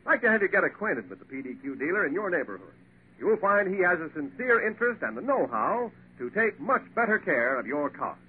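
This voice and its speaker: Male announcer, 1940s radio style, scripted read, high voice, tinny band-limited sound, faint hiss. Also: big pitch swings, fairly quick.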